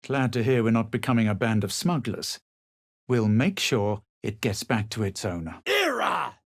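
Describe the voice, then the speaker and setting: A smug fantasy adventurer for a video game, lively pitch, really dry close recording, sardonic and superior. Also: male voice, gravelly, dry recording. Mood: forceful incantation. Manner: measured, superior, dry delivery. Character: haughty male fantasy adventurer, self-assured and dryly sardonic. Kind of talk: video game voice lines, narration and spell shouts.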